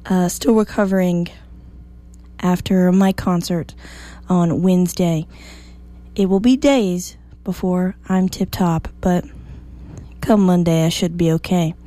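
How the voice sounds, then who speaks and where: A woman hosting a morning radio show, slightly hoarse, chatty and upbeat as she reads the weather. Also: fairly low pitch, fairly even intonation, takes it slowly, warm tone. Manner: chatty, upbeat, self-joking. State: a little hoarse.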